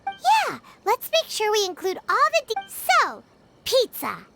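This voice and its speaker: Game role: squeaky little fairy sidekick, perky and eager, extremely high-pitched and highly animated. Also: female voice, takes it slowly, creaky, exaggerated voice. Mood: excited, busy. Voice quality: squeaky, childlike. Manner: perky, exclamatory, refers to self in third person. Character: small, chirpy, childlike fairy companion, eager and loyal. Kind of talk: video game voice acting. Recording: game capture with loud background noise.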